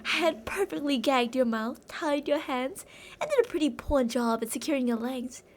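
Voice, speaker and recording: A woman's voice: high voice, lively intonation, moderate pace, a little hiss or hum. A woman playing an obsessive, possessive yandere captor in an ASMR roleplay, intimate and doting with endearments yet menacing. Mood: taunting. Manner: intimate, cooing endearments, drops to whispers. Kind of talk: ASMR roleplay, scripted monologue.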